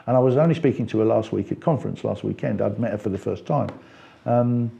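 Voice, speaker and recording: An older man with a gravelly, mellow voice chats freely in an interview. He talks at length, piles up restarts and fillers, and keeps drifting into side remarks. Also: fairly low pitch, fast talker. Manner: talkative, many restarts and fillers. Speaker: interviewee, party member. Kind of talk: casual conversational interview.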